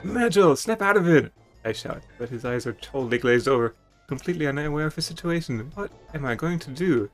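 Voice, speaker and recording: A man's voice: mid-range voice, fast talker, faint music behind. A man playing a video game, reading its text aloud dramatically with stumbles and casual commentary. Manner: theatrical, stumbles over written text. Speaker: video game player and streamer.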